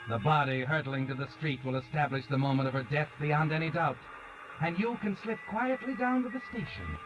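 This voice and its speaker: An actor playing an ominous, knowing narrator in a 1940s radio mystery, insinuating and dramatic, addressing the listener as the culprit. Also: male voice, on the high side, lightly acted. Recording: dull vintage 1940s radio recording. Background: dramatic music.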